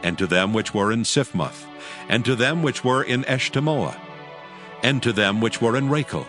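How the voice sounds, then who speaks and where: A man narrating scripture as a scripted audio drama, with solemn, measured storytelling. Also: mid-range voice, expressive, a bit bright. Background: music bed.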